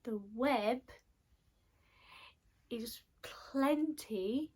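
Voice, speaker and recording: A woman reading a children's picture book aloud in short, deliberate, expressive phrases. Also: fairly high pitch, breathy edge. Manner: slow, deliberate phrasing in short broken chunks.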